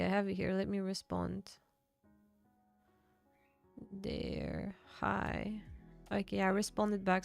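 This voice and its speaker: A woman's voice: mid-range voice, gravelly. A young woman from Bulgaria, chatting casually with her livestream viewers and answering chat. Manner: chatty, reads and answers chat, quick laughs. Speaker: streamer.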